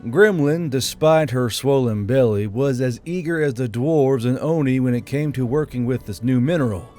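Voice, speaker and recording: A man's voice: medium pitch, normal speed. A man narrating fantasy fiction from a script as an audiobook reader. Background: soft background music.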